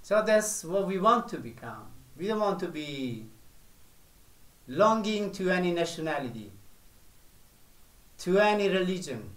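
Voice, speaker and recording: A man giving a spiritual sermon as a teacher, speaking slowly and deliberately with long pauses. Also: high voice, sing-song, fairly bright tone, echoey room, low-quality recording.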